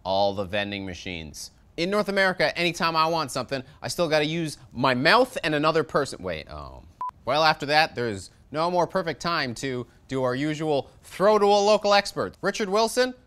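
A man's voice: sing-song, moderate pace, a bit bright, clean tone. A man in his thirties hosting a metal review show, delivering a jokey scripted review from a studio set. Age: 30s. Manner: sarcastic, jokey, wide swings in pitch. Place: studio set with shelves of records.

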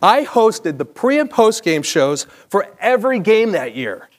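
A man's voice: high-pitched, sing-song, unhurried. A middle-aged American man reading a scripted personal story on stage with a practised broadcaster's delivery. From Chicago area, United States. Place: theatre stage before a live audience. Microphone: clip-on lapel mic.

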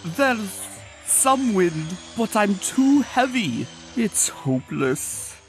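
A man's voice: sits high, big pitch swings, drawn-out words, soft background music, exaggerated voice. A man voicing a huge, haughty but insecure bird in a comic dub, with formal, pompous speech. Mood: anxious. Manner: pompous, formal, turning hesitant. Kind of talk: comic dub cartoon acting.

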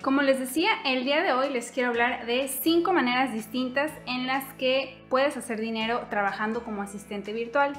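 A woman's voice: high voice, moderate pace, hardly any pauses. A young woman hosting her own channel, explaining a topic in an upbeat way straight to her viewers from a room at home. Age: young adult. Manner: upbeat, direct address to viewers. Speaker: YouTube host. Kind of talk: scripted vlog explainer. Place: indoor room, seated on couch. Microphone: fixed camera, medium shot. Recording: echoey room with soft background music.

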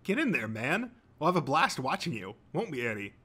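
A man's voice: on the high side, big pitch swings, fairly quick, bright, forceful tone, slightly rough, pitched above the performer's own voice, exaggerated voice. A man voicing a cheerful, encouraging teenage boy in an audiobook, upbeat and casual like a big brother. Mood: playful, upbeat.

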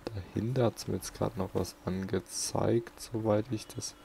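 A man's voice: lowish voice, steady tone, a little slow, creaky, warm tone, a little hiss or hum. A man giving casual gameplay commentary as a let's play host, muttering to himself.

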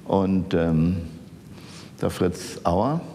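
Elderly man, very low-pitched, reminiscing. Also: mellow. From Saarland, Germany. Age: older, 70s or 80s. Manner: halting, searching for words. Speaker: architect interviewed as an eyewitness. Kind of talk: conversational reminiscence. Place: large office archive room, indoors. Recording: echoey room with rumbling background noise.

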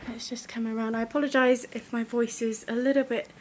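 A woman's voice: a little high, level tone, brisk, slight background noise. An enthusiastic English woman giving a walking tour of a small gift shop, her voice slightly muffled by a face mask, filmed on a handheld phone. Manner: enthusiastic, gushing praise. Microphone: phone camera held while walking.

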